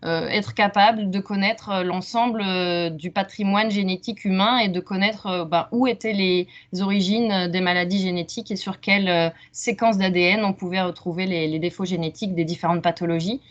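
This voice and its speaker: A woman in her thirties from Franche-Comté in France, presenting science informally and haltingly on a video call. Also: medium pitch, steady tone. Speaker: researcher, doctoral student presenting. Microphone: video call microphone. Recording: muffled video-call audio.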